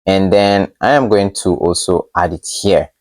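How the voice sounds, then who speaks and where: A man presenting a casual software tutorial, with somewhat halting, hesitant phrasing. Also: low-pitched.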